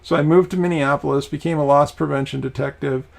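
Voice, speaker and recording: A man's voice: on the high side, fairly even intonation, quick pace, gravelly. A young adult man from Maine, a private detective, talking casually with many ums and restarts. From Maine, USA. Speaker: podcast host. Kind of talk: casual talk.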